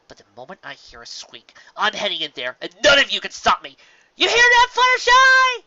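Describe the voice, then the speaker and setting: A man voicing a hot-tempered, protective female cartoon pony in an audio story, loud and impatient. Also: extremely high pitch, wildly swooping pitch, brisk, highs cut off, heavy background noise, pitched above the performer's own voice, exaggerated voice. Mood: frustrated, defiant. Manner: loud, impatient, forceful shouting.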